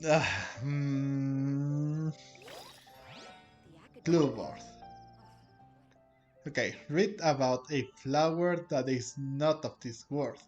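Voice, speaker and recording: A man doing casual, rambling gameplay commentary as host, with halting delivery. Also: mid-range voice, a little mellow, highs cut off. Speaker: host of a game playthrough channel. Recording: game music under the voice. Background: game music.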